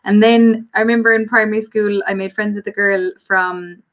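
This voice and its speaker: A young Irish woman telling stories in a lively way during a casual live-stream chat. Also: medium pitch, normal speed. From Ireland. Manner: lively storytelling.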